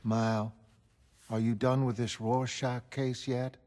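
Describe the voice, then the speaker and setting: A man's voice: medium pitch, flat delivery, slow, darkish tone, lightly acted. A man voicing an affectionate, coaxing wife in a motion comic. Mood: concerned. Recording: music behind the voice.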